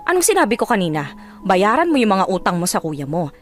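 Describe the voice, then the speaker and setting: Woman playing resentful sister-in-law, Filipino accent, radio drama, rapid, swooping pitch, sarcastic scolding. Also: big pitch swings, faint music behind, close to an everyday voice. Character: fed-up, sharp-tongued married sister-in-law, resentful and accusing. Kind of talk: Tagalog radio drama acting.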